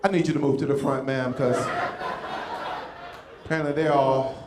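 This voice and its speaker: A man's voice: medium pitch, normal speed, echoey room, noisy background. A man in his fifties performing a stand-up comedy set, telling stories and acting out voices. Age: 50s. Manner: animated storytelling, acting out voices. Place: comedy club stage with live audience.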